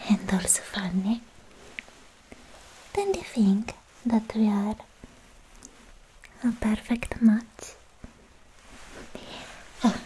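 A young woman playing a lovestruck, bashful anime swordswoman in a coy, hesitant, breathy whisper for an ASMR roleplay, close to the microphone. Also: mid-range voice, dark tone, slight background noise, low-quality recording. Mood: flustered, adoring. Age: young adult.

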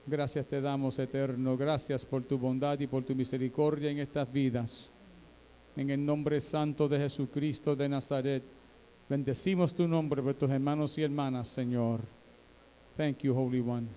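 A male pastor praying over his flock in a fervent, rhythmic cadence, his voice sitting a little higher than most men's. He speaks into a pulpit mic in a large church sanctuary. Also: dark tone. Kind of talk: leading a congregational prayer.

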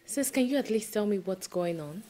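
An actress playing a concerned, nagging sister, insistent and reproachful in naturalistic film drama. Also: female voice, medium pitch, animated, normal speed, dark tone, natural voice.

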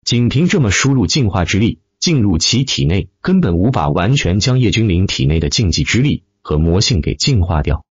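A man narrates a fantasy tale with theatrical emphasis. His voice is low and husky, and his intonation rises and falls in wide swings as he stresses the action. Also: sing-song, normal speed, few pauses, dry recording, muffled recording. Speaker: audiobook narrator. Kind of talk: dramatic scripted narration of a fantasy web novel.

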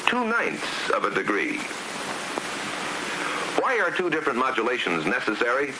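A man's voice: medium pitch, normal speed. A man with an American accent narrating a scripted 1950s instructional film in a formal, precise, didactic manner. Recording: hissy 1950s film soundtrack. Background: steady hiss.

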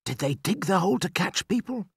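A man's voice: high voice, very animated, fairly quick, breathy edge, dry recording, studio quality, voice pushed up, heavily acted. A man voicing an anxious young boy from an audiobook story, calling for his father and asking after him in a pleading way. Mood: worried. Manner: calls out pleadingly.

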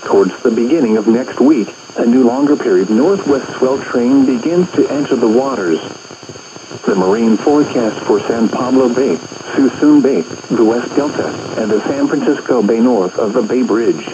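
A synthetic man's voice reads a weather bulletin in measured, impersonal phrases. It sits at a medium pitch. The radio sound is dull and lo-fi, with clear background noise. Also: lively intonation. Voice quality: synthetic text-to-speech. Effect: weather radio broadcast. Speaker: automated weather radio announcer. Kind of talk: scripted weather bulletin reading. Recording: noisy muffled radio picked up by microphone.

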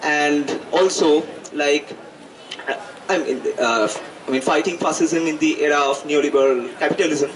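Higher-pitched young Indian man, halting public speaker. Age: 20s. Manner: halting, reflective, academic wording with frequent rephrasing. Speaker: student activist giving a public address. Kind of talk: political speech, partly improvised. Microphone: handheld microphone held close to the mouth. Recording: distant mic, noisy live event sound.

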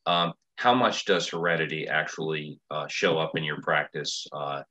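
An older man hosts over a video call and asks his questions hesitantly, with many fillers. His voice sits very low but has a bright, forceful edge. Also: moderate pace, highs cut off. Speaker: interviewer. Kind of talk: online interview questions. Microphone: webcam on video call.

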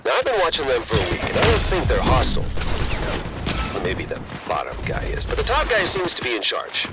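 A man's voice: medium pitch, huge pitch swings, a little fast, very noisy. An actor playing an easygoing, quirky male agent in a video game, with casual, wry asides. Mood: wry, musing. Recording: loud game sound effects behind.

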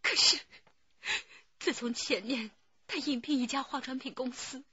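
An actress playing an aggrieved, jealous wife with a husky voice in a radio drama. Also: female voice, high-pitched, unhurried, pushed and bright, dry recording, dull audio, exaggerated voice.

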